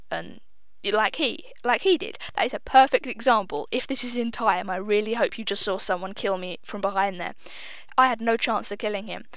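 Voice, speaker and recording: Young woman, casual game commentary, mid-pitched voice, self-deprecating, a bit nervous, dry close sound. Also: a bit bright, no room sound. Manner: says she sounds nervous and tense. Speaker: gaming video host. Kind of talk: casual gameplay commentary voice-over.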